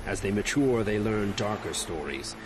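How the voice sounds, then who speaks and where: A man playing a thoughtful storyteller, narrating an animated fable expressively and deliberately. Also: on the low side, over music, lightly acted, rough recording. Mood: storytelling. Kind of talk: scripted fairy tale narration.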